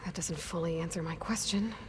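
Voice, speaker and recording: A guarded young heroine voiced by an actress in a video game, with a lowish voice for a woman. She is headstrong and skeptical, questioning bluntly with a wry edge. Faint music sits behind her. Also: moderate pace, close to an everyday voice. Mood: probing, skeptical. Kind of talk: video game dialogue acting.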